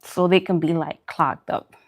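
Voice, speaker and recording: A woman with a slightly low voice talks casually to her viewers as a food vlog host. She speaks in colloquial slang and leans on emphatic repeats, like someone telling a story to friends. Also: steady tone, measured pace. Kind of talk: casual chat to viewers during a mukbang livestream.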